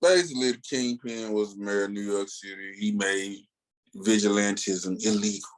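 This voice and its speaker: A man's voice: lowish voice, moderate pace, poor audio. A man co-hosting a podcast, talking casually in a slangy, emphatic, digressive way as he gives his opinions. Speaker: comics podcast co-host. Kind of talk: casual, opinionated comic book review chat.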